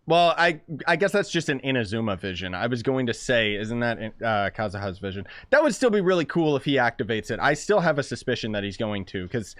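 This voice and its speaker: A man's voice: mid-range voice, big pitch swings, fairly quick, few pauses, a bit bright, dry recording. A man giving casual, playful, joking commentary while playing a video game. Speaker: gaming streamer.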